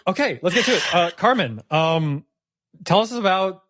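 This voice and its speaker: A man's voice: high-pitched, lively intonation, normal speed, a bit bright, no room sound. A man hosting a casual post-game discussion as game master, talking in an enthusiastic, emphatic way.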